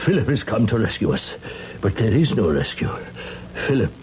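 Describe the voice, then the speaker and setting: A man's voice: on the low side, sing-song, normal speed. Casting: fervent older alchemist father, commanding, swooping intonation, vintage radio play, noisy muffled recording. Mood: intent, grave. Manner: urgent, incantatory, commanding. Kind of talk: theatrical vintage radio drama acting.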